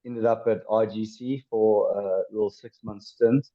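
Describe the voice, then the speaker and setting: A South African man in his twenties talks through his past as a guest on a podcast. His voice sits on the low side and comes through a muffled, lo-fi recording. He hesitates a lot, filling gaps and restarting sentences. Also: steady tone, measured pace. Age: 20s. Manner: hesitant, many ums and restarts. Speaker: podcast guest, former rugby player. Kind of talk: casual interview chat. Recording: dull lo-fi sound.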